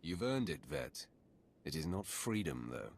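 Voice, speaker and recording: A man's voice: extremely low pitch, big pitch swings, measured pace, vocal fry, a little hiss or hum, full character voice. A man playing a stern, confident Sith warrior in a video game, speaking in terse, controlled, formal phrases. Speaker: voice actor in a game. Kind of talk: cinematic video game acting.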